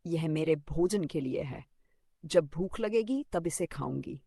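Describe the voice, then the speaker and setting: A narrator as a gentle little cartoon bird, slightly creaky. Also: mid-range voice, lively intonation, unhurried, no room sound, clean studio sound. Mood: gentle, earnest. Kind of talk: animated children's storybook cartoon.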